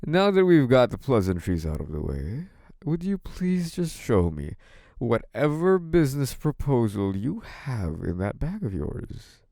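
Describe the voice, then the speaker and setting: A man's voice: mid-range voice, creaky, dark tone, no echo, studio quality. A man playing a smug, menacing, possessive young mafia boss in an intimate audio roleplay, taunting in a theatrical, mock-sweet way. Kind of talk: scripted audio roleplay, intimate monologue to one listener.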